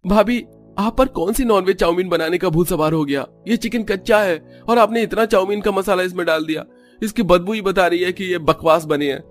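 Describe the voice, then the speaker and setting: A man's voice: high-pitched, a little fast, faint music behind, lightly acted. A man playing a blunt, fed-up young brother-in-law who complains emphatically in a Hindi cartoon story. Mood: disgusted.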